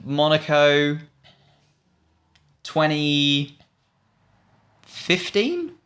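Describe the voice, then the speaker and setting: A man with a slightly high voice chats casually through a quiz. He thinks aloud, hesitant and musing as he works out each guess. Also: sing-song, very slow, a bit bright. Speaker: online video host, quiz player.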